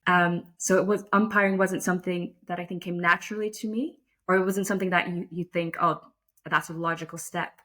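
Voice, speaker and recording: A woman's voice: fairly low pitch, fairly even intonation, brisk, fairly bright tone. A woman from the Netherlands, a lacrosse umpire talking thoughtfully and modestly as an interview guest. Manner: thoughtful, self-deprecating, frequent restarts and fillers. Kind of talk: casual interview conversation.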